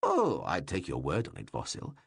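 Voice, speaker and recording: Man voicing a loud teasing king. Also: very deep voice, huge pitch swings, normal speed, gravelly, slightly rough, no room sound, clean studio sound, voice pushed down, heavily acted. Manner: loud, mocking, playful. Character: teasing, bawdy middle-aged male king. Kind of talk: audiobook reading.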